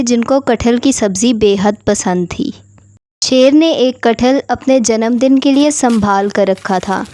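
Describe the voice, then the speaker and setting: A woman's voice: on the high side, darkish tone, no room sound. A woman narrating a scripted story for children.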